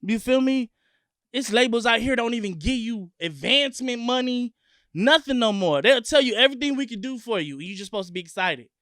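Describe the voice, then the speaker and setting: A man from Long Beach, California, a guest music artist talking in an animated, profane, emphatic way in a casual sit-down interview. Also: very high-pitched, fairly quick, no echo, studio quality.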